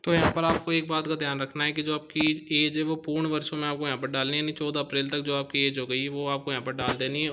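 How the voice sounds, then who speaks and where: A man's voice: fairly high pitch, bright, forceful tone, a little hiss or hum. A man giving an explanatory tutorial as a video host, in a repetitive, instructional manner. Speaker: YouTube host.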